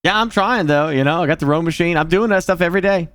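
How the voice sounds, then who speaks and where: A man's voice: on the high side, fast, fairly bright tone, clean tone, dry recording. A man in his forties chatting casually with his stream viewers, joking and self-mocking. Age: 40s.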